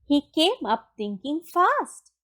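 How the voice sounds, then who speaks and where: A woman reading a children's picture book aloud in an expressive, deliberate storytelling manner with dramatic pauses. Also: sits high. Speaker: storybook reader.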